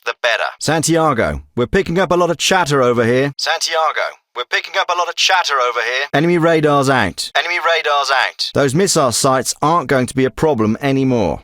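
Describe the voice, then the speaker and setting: A man's voice: mid-range voice, sharp tone, dry recording, studio quality. An actor playing a commanding male special forces team leader in a video game, with firm, clipped, businesslike military delivery. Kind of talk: scripted military radio dialogue.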